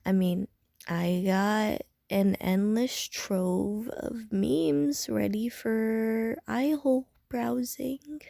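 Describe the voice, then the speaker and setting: A woman's voice: on the high side, fairly even intonation, extremely slow, gravelly, warm tone, dry recording, heavily acted. A woman playing a casual, slightly prickly young gamer in an audio roleplay, talking hesitantly and with dry self-mockery to the listener.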